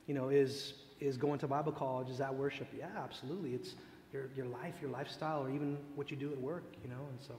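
A man whose native language is Tagalog gives informal religious teaching, thinking aloud in a reflective, halting way. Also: medium pitch, level tone, darkish tone. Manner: reflective, halting, searching for words. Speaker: speaker leading a worship teaching session. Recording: echoey hall, long reverb, background noise.

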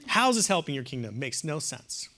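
A man giving a classroom lecture in an earnest, emphatic way, swinging from hushed to loud. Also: fairly high pitch, very animated, brisk, slight background noise. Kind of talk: classroom lecture on theology and poetry.

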